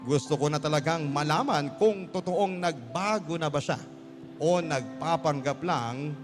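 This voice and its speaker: A man's voice: a little high, unhurried. A man giving a dramatic scripted narration as a radio storyteller, earnest and sentimental. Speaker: radio storyteller reading a listener's letter. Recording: clear music bed under voice. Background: music.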